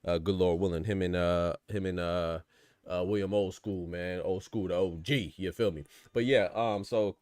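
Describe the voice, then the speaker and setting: A man from the United States with a fairly low voice hosts a livestream talk. He speaks colloquially and casually, laying out his opinions to the chat. The sound is dry, with very little room on the voice. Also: fairly even intonation, normal speed, a little mellow, no echo. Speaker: boxing podcast host.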